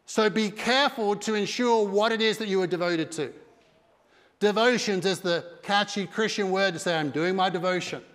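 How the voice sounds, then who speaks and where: Middle-aged pastor preaching hard into a headset, fairly high voice, big reverb from the hall. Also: male voice, moderate pace, fairly bright tone. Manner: emphatic preaching with broad hand gestures. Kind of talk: sermon. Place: large dark church stage. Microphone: headset mic.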